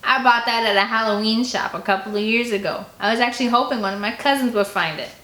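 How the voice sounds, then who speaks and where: A woman's voice: on the high side, fast, bright, forceful tone, echoey room. A woman voicing a cocky, mocking teenage boy in an audiobook.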